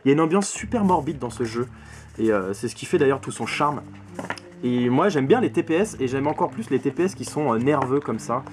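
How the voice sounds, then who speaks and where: A man's voice: mid-range voice, a little mellow, a little hiss or hum. A young man talking casually and emphatically to camera as he presents his video game collection, recorded at arm's length in a bedroom. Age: young adult. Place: small bedroom full of games, indoors. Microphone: camera mic at arm's length.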